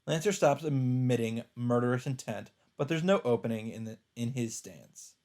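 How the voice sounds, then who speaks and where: A man's voice: mid-range voice, normal speed, a little mellow. A man reading visual novel narration aloud dramatically as a let's player. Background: plucked-string game music.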